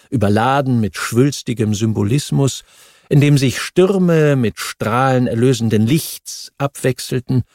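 A man reads non-fiction history as a scripted audiobook, measured and articulate. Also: mid-range voice, mellow, no echo, studio quality. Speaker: audiobook narrator.

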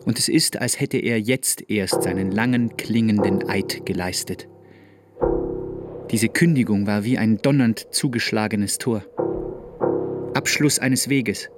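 A man delivering scripted, dramatic literary narration for a radio play with emphatic, deliberate phrasing. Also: fairly low pitch, over music.

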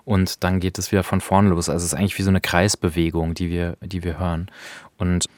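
Low-voiced man explaining, dry sound. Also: flat delivery, quick pace, a little mellow, no echo. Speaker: co-host. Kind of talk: conversational explanation of radio art. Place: at home on a sofa, dry sound.

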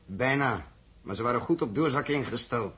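A man's voice: medium pitch, animated, moderate pace, a bit bright, lightly acted. Businesslike actor as male spaceship crewman. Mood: wry. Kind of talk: 1960s radio drama acting.

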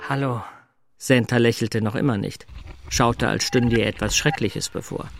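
An actor playing a sober, shy, awkward middle-aged man in an understated, halting radio drama style. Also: mid-range voice, steady tone, gravelly, faint music behind, lightly acted. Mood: tense, sober. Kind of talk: German radio drama, understated dialogue and narration.

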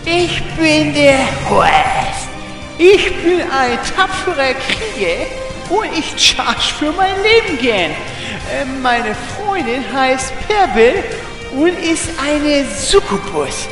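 An actor playing a naive, dim but brave warrior in a parody audio drama. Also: male voice, very high-pitched, big pitch swings, slow, music in the background, exaggerated voice, low-quality recording. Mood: proud, dopey.

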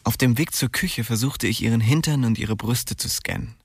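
A man's voice: medium pitch, normal speed, husky, no echo, clean studio sound, natural voice. An actor playing a lovestruck, restless young man who narrates his small-town life in an intimate, slangy, wry way in a radio drama. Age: young adult. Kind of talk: radio drama first-person narration and dialogue.

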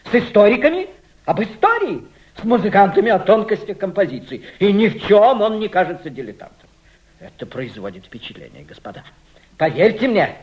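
Awed old-world gentleman, high voice, really sing-song dramatic declaiming, old muffled radio play. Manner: declamatory, emphatic repetition, dramatic pauses. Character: awed, theatrical anti-Bolshevik gentleman, solemn and emphatic. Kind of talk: Russian radio play acting.

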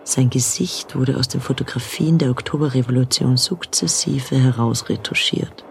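An actor playing a matter-of-fact, measured male narrator in a scripted radio drama. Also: medium pitch, gravelly, slight background noise, close to an everyday voice. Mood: factual.

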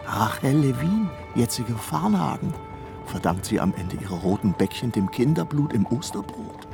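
A man playing a genteel, credulous councillor in a German radio play, speaking in a halting, muttering, polite manner. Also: mid-range voice, sing-song, creaky, over music. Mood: inquisitive, insinuating. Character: genteel, credulous male councillor, shocked and prejudiced.

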